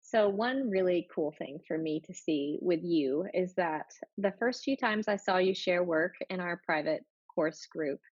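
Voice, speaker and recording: A woman's voice: fairly low pitch, measured pace, hardly any pauses, husky, dry recording. A woman hosting an interview in a casual, encouraging way, full of compliments for her guest. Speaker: interview host, teacher. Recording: dry, dull lo-fi sound.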